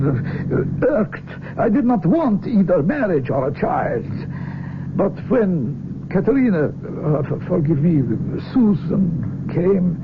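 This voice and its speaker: An actor playing an aging, proud Russian research doctor in a radio drama, speaking formally and deliberately with a Russian accent. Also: male voice, sits high, heavily acted. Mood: proud, sorrowful. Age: older. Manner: formal, deliberate phrasing, searching for words. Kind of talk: 1970s radio drama acting. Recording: noisy, narrow-band old radio recording.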